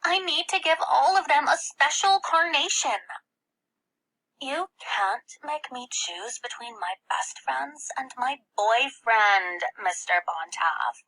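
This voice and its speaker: A woman's voice: sits high, slow, sharp tone, voice pushed up, exaggerated voice, rough recording. A woman voicing a whiny, dramatic, self-important seventh-grade girl in a children's audiobook, wailing with emphasis. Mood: dramatic pleading.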